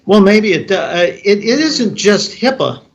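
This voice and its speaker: Man, board member, slow and gravelly, probing questions, many restarts. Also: high-pitched, very animated, highs cut off. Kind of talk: questioning at a public meeting.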